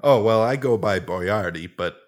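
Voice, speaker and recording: Guy doing a big low-voiced bunker tough guy, deadpan, acts all dignified, comedy podcast drama. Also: moderate pace, natural voice. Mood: mock-dignified. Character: huge, deadpan brawler sidekick in a doomsday bunker. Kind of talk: conversational comedic radio-drama dialogue.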